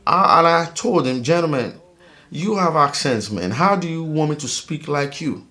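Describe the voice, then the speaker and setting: A man from Africa hosting his own vlog, talking casually in a rambling, animated way with many fillers. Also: a little high, normal speed. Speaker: vlogger host.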